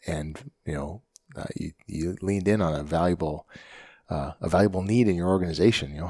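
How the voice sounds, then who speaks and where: Deep-voiced man hosting an interview. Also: a little mellow, slightly rough, dry recording. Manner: encouraging, affirming, often asks "right?". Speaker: podcast host, firefighter. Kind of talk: casual interview chat.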